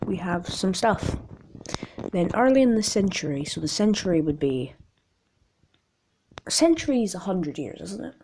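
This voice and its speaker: A woman with a raspy voice in an amateur, casual video, rambling and reading aloud in a jokey, self-deprecating way with stumbles. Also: on the low side, very animated, normal speed, pauses often, background noise. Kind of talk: casual rambling vlog with articles read aloud.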